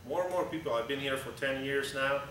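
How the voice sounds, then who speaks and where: A man's voice: medium pitch, fairly quick, fairly bright tone. A man in his thirties from Russia with a Russian accent, giving a casual, blunt slide talk as an airline pilot, with frequent "uh"s and restarts. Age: 30s. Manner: conversational and blunt, with frequent "uh" and restarts, gesturing while standing. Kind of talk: informal slide presentation to a group. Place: large echoey hangar-like meeting room indoors. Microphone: camera at the back of the room. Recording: echoey room, distant camera, background hum.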